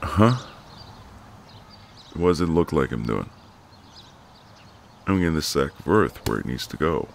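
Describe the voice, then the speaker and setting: A man playing a friendly, gallant, gently teasing ex-marine neighbour in an intimate, easygoing one-sided roleplay. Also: very deep voice, big pitch swings, a little slow, creaky, pauses often, slight background noise, exaggerated voice. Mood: gently teasing, insistent. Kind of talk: intimate ASMR roleplay, one side of a conversation.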